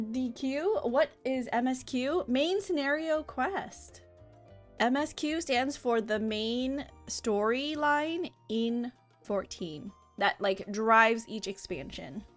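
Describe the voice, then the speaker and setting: A chatty woman streaming a game live, greeting her viewers effusively. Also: sits high. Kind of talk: livestream chat and reading game dialogue aloud. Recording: music behind the voice.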